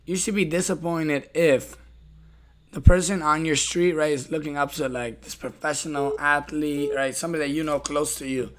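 A man's voice: a little high, steady tone, normal speed. A man hosting a casual podcast conversation, speaking directly and emphatically and often checking in after his points. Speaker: podcast host.

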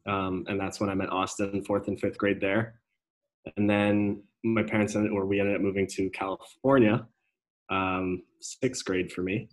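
Low, flat-voiced young man, halting. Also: rough recording. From Denmark. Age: young adult. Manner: hesitant, many ums and restarts. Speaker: guest. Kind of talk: casual interview chat.

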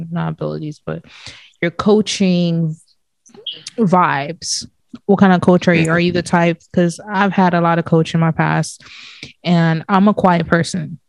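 Gravelly, meandering interviewer. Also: medium pitch, no room sound. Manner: loose, meandering questions. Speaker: interviewer, former player. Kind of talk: casual interview questions.

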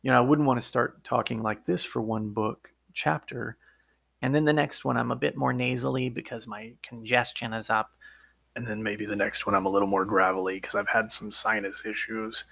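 Gravelly-voiced man musing on his podcast. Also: on the low side, steady tone, moderate pace, a bit bright. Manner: earnest, conversational, explains step by step. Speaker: podcast host and audiobook narrator. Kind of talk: casual solo podcast monologue.

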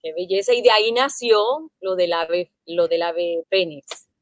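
A woman's voice: fairly low pitch, a little slow, no echo. Woman interviewer in a dry room. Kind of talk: interview host questions.

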